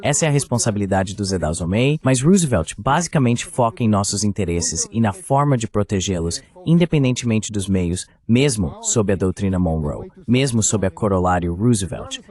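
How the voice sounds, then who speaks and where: A man's voice: on the low side, animated, moderate pace, hardly any pauses, darkish tone. A man with a Brazilian accent speaking as an interview guest, giving political analysis in a translated voice-over.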